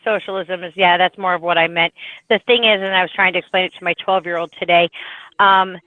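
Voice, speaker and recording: A woman's voice: fairly low pitch, fairly even intonation, a little fast, dry recording. A woman in her thirties, a mother, talking anxiously and emphatically in a casual group voice chat. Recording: tinny phone-like line.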